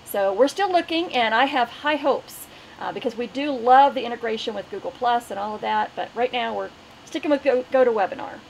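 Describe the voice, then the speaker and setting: Middle aged lady webinar host, pretty high voice, doing announcements, slight background noise. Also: a little fast, gravelly, poor audio. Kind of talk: online webinar hosting, announcements and housekeeping.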